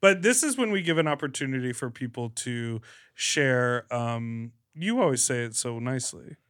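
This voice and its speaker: A man's voice: mid-range voice, expressive, normal speed, fairly bright tone. A man co-hosting a podcast in a casual, bantering style. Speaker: podcast co-host.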